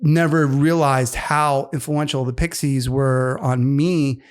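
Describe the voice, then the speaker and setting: A man's voice: mid-range voice, steady tone, slow, clear, resonant tone. A man in his fifties co-hosting a casual podcast, rambling enthusiastically about music. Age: 50s. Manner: rambling, enthusiastic music talk with many fillers. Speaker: co-host.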